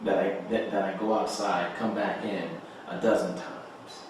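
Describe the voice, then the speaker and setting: Low-voiced desperate writer played by a man, echoey. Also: little pitch movement, normal speed, close to an everyday voice. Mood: anguished. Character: desperate, trapped struggling male writer defending himself. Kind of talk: stage play table read. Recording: echoey room, distant mic, noise.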